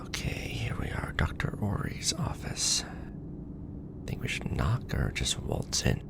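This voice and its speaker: A man's voice: rumbling low, big pitch swings, measured pace, gravelly, whispered, heavily acted. A man playing a nervous, joking young ex-janitor in a roleplay audio drama, hesitant and informal.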